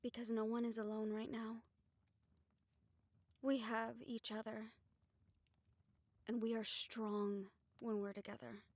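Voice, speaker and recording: A woman playing a calm, reassuring witch who leads a ritual in an audio roleplay, steady and encouraging as she gives instructions. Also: on the high side, slow pace, long pauses, dry recording. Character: calm, reassuring female witch leading a soul-bond ritual.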